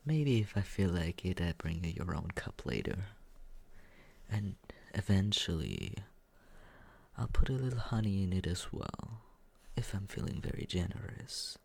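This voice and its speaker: A man playing a smug, condescending but secretly caring young roommate in a teasing ASMR roleplay, with breathy, husky whispering and murmuring. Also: low voice, animated, heavily acted. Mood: mocking, playful. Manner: teasing, condescending, slow drawl.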